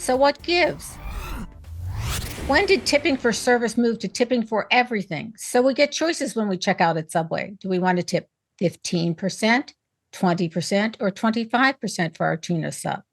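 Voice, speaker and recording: Female panel host, slightly high voice, opinionated and emphatic commentary. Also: expressive, moderate pace, faint music behind. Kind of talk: scripted commentary and panel discussion.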